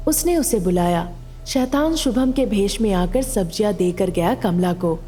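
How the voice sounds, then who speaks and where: A woman's voice: medium pitch, expressive, measured pace, dark tone, in a large hall, faint music behind. A woman narrating a scripted story as a storyteller.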